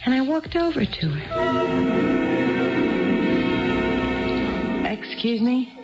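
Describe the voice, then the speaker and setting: A woman's voice: sits high, sing-song, drawn-out words, loud music behind the voice, heavily acted. An actress playing an anxious, indecisive married woman in a 1970s radio drama, hesitant and rambling as she talks. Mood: confiding, chatty. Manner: hesitant, rambling, self-doubting. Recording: dull, band-limited old radio broadcast.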